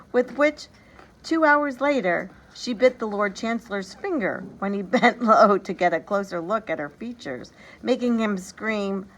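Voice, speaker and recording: A woman reading a children's story aloud in an animated, expressive, emphatic storytelling manner. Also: medium pitch, rough recording. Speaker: storybook reader. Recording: background noise behind the voice.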